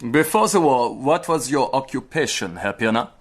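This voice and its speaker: An actor playing a fussy, cautious German official in a radio drama, prim and reproving. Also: male voice, medium pitch, expressive, normal speed.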